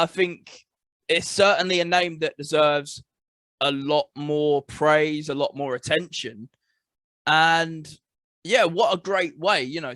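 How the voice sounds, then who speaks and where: English man, enthusiastic podcast co-host, slightly high voice, dry sound. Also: a bit bright, no room sound. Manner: enthusiastic, repeats phrases for emphasis. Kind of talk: casual chat over video call. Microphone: remote call mic.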